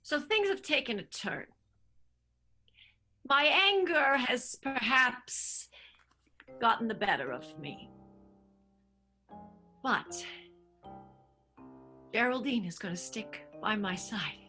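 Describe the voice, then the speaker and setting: A woman playing a hot-tempered, murderous stage manager in an improvised radio play, intense and halting. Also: on the high side, expressive, pushed and bright, faint music behind, heavily acted. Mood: tense, determined.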